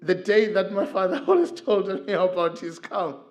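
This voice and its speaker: A middle-aged man from Zimbabwe speaks in a church, his voice sitting high for a man. He talks earnestly and tells stories, with frequent filler pauses as he searches for words. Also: expressive. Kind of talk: talk on men's mental health. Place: church hall.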